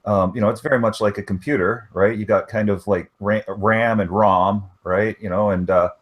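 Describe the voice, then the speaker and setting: A man's voice: lowish voice, steady tone, normal speed. A man in a casual online chat who rambles, filling his talk with "you know" and "right". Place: online voice hangout.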